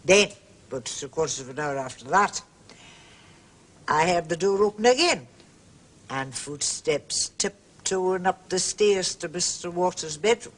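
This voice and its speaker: An actress playing a prim, gossipy and indignant landlady in a period mystery drama, husky and emphatic, forever justifying herself. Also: lowish voice, expressive, a little slow. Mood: confiding. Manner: emphatic, self-justifying, repetitive.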